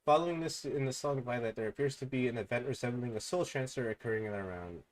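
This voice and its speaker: A young man streaming, reading fan theories aloud in a low mumble and breaking into loud excited outbursts. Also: quick pace, rough recording.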